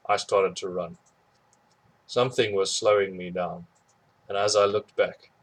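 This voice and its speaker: A man reads a memoir aloud, telling it plainly and steadily with no frills. His voice sits on the low side and has a husky, slightly breathy edge. The delivery is unhurried narration throughout. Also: rough recording. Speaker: audiobook reader.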